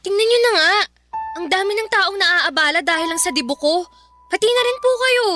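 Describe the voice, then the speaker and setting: Very high-pitched pleading teenage daughter. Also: female voice, lively intonation, brisk, pushed and bright, soft background music, heavily acted. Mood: frustrated, protesting. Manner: pleading, polite but complaining. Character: earnest, protesting teenage daughter nearing eighteen. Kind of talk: radio drama acting.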